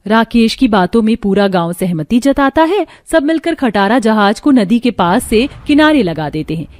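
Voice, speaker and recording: A woman's voice: a little high, sing-song, moderate pace, darkish tone, no room sound, clean studio sound. A woman reading scripted narration for a cartoon story.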